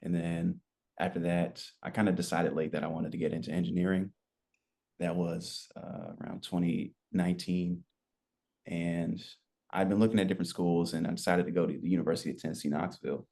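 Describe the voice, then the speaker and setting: A man in his twenties telling his story as a podcast guest, relaxed and rambling, with lots of fillers. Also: sits low, brisk, vocal fry. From United States. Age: 20s. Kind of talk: casual interview chat.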